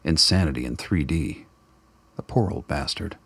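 A man with a gravelly, husky voice giving dramatic scripted narration for a radio play. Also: a bass voice, moderate pace, dry recording.

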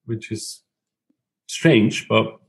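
A man in his thirties from Bosnia and Herzegovina, a native Bosnian speaker, recounting his childhood as an interview guest; he talks reflectively, with halting restarts. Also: on the low side, creaky. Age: 30s. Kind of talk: personal testimony in an interview.

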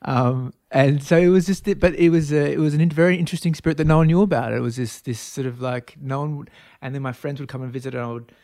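An Australian man, likely in his thirties, talks casually as an interview guest, circling back with fillers and restarts. His voice has a gravelly, creaky edge. The recording is dry and close. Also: a little high, fast talker, hardly any pauses, darkish tone, dry recording. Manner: rambling, many fillers and restarts. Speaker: guest, spirits brand founder.

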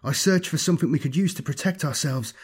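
A man's voice: fairly high pitch, fast, a little mellow, slightly rough, pitched above the performer's own voice. A man voicing an anxious, earnest male acolyte, hesitant and deferential, in a sci-fi audiobook.

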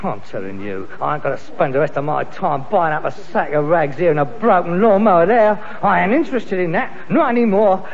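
A frustrated London junk trader, a grown son full of grand schemes. His voice is high for a man and swings widely, theatrical and sarcastic in old British sitcom style, on a dull recording. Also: expressive, brisk, vocal fry, hardly any pauses. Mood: scheming, persuasive. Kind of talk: 1960s British sitcom acting.

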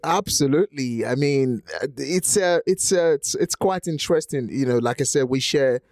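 A man from Nigeria with a Nigerian accent, English not his first language, hosting an interview in a relaxed way and often repeating words. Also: mid-range voice, normal speed, no echo. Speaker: host. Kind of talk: conversational interview.

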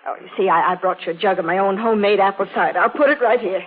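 A woman's voice: medium pitch, rapid-fire, close to an everyday voice. An actress plays a fussy older landlady in an old radio thriller. She is chatty and folksy, gushing as she intrudes. The sound is muffled, with faint noise behind it. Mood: chirpy, neighborly. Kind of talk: 1940s radio acting.

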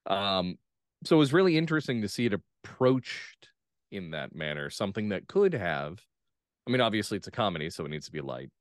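A man with a low voice talks casually as a podcast guest. His intonation is very lively, and he phrases thoughts reflectively with pauses. The sound is close and dry. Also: dry recording. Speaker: guest playwright and actor. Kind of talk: casual discussion.

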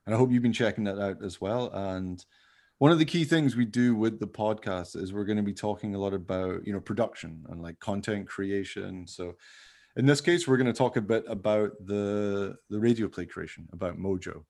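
A man's voice: on the low side, moderate pace, darkish tone. A man hosting a podcast in a casual, rambling chat, with an informal, jokey manner. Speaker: podcast host.

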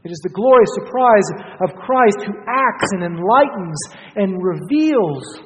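A preacher speaks slowly and solemnly, with a pitch that rises and falls widely for emphasis. The phrasing is rhetorical and deliberate, as in a sermon, and the voice rings with the long reverb of a large hall. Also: animated, background noise. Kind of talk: sermon with scripture reading.

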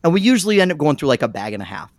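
A man on a podcast panel chatting casually, telling stories with lively bursts and putting on voices as he goes. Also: fairly high pitch, rapid-fire, no echo. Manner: animated storyteller, bursts into mimicking voices.

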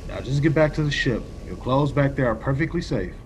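A man playing a stern, practical colonial executive in a classic radio play, speaking with measured authority. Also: mid-range voice, lively intonation, slight background noise, close to an everyday voice. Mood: calm, reassuring.

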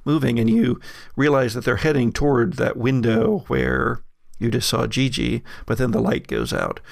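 A male game master narrates an improvised horror scene. His medium-pitched voice is thick with creak and sits dry and close. He halts and pauses mid-sentence as he builds suspense. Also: animated, dry recording.

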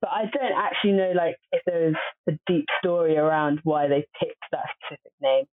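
A woman's voice: sits low, fairly even intonation, moderate pace, faint music behind. A woman guest on a podcast, chatting casually and hesitantly, with many fillers. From family from southern Nigeria. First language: Obolo. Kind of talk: casual interview chat. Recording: dry, phone-like lo-fi audio.

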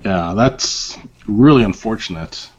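Rambling low-voiced man, dull audio. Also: slow, slightly rough. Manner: rambling, many fillers and restarts; wry, self-deprecating. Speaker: interview guest. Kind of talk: casual conversation.

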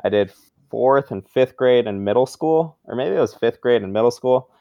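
A man's voice: medium pitch, normal speed, creaky, dry recording, dull audio. A young man from Brazil, a guest in a casual interview, talking loosely and filling his speech with "like" and "um". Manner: relaxed, loose, leans heavily on "like" and "um".

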